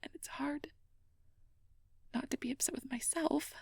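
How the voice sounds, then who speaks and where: A woman playing a sweet, anxious young mage girlfriend in an ASMR role-play, speaking in a breathy, often whispered voice with earnest, hesitant, careful phrasing. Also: high-pitched, unhurried, darkish tone, no room sound. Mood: worried, self-blaming. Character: sweet, anxious, conscientious young air mage girlfriend confiding her worries. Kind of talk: ASMR role-play, intimate personal address.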